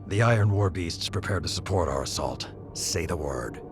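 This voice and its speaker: Gruff old war commander, real deep, formal, game cutscene w music behind. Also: male voice, lively intonation, normal speed. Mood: resolute. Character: stern, commanding old male warlord rallying troops. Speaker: military commander character in a video game. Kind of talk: dramatic video game voice acting. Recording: game dialogue over background music.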